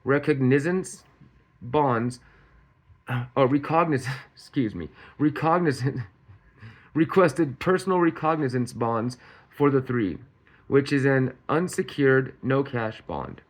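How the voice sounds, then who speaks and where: A man's voice: medium pitch, takes it slowly. A man hosting a commentary channel, reading out news in a casual way and often tripping over words and correcting himself.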